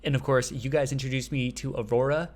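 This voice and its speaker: A man hosting a casual reaction video, chatty and excitable, often breaking into laughs. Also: mid-range voice, fairly quick.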